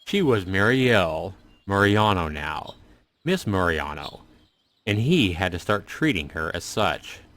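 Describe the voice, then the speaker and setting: Low-pitched man reading a story. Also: big pitch swings, a little slow, fairly bright tone. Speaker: host reading a visual novel aloud to viewers. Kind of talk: dramatic storytelling narration. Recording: music behind the voice. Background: music.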